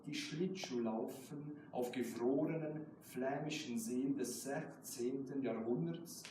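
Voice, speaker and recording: A man's voice: mid-range voice, a little slow, warm tone. Echoey, distant male poet, native German speaker. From Switzerland. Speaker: guest poet. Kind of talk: poetry reading with casual asides. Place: indoor live poetry event. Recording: roomy echoing hall, distant mic, noisy.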